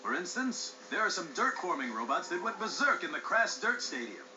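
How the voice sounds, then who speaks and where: A flamboyant racing presenter, fairly high-voiced and wildly animated. He is grandiose and sly, a hammy video game showman, heard over music in a slightly muffled mix. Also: a little fast, background noise. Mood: slick, sly. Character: flamboyant, vain male racing show host. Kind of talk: showy announcer acting in game cutscene. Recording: dull game audio with music behind.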